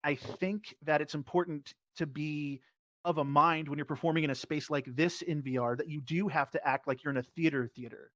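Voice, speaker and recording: Higher-pitched man, dull dry recording. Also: steady tone, a little fast, fairly bright tone, no room sound. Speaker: panelist, VR stage performer. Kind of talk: casual panel discussion. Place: virtual reality event, voice over personal microphone.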